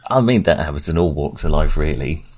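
A man hosting a casual film discussion podcast, with a wry, jokey manner and a halting, hesitant delivery. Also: very deep voice, animated, a little mellow, breathy edge. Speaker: podcast host.

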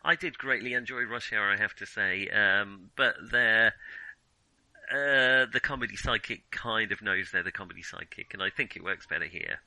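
Guy chatting on a movie podcast, really sharp forceful voice, dry sound, emphatic opinions, stops n starts. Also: fairly low pitch, normal speed, no room sound, poor audio. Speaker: film podcast co-host. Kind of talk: casual film discussion.

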